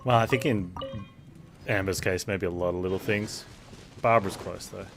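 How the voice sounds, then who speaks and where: A man's voice: low voice, moderate pace, vocal fry. A man streaming a video game, giving casual running commentary on his play. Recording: loud game music behind.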